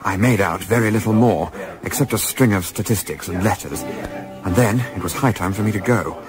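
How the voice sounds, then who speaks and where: An actor playing an observant, composed gentleman adventurer in a radio play, speaking in a measured, articulate, understated way. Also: fairly low pitch, music in the background, natural voice. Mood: tense recounting. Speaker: lead actor narrating and playing a part. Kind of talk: radio play narration and dialogue.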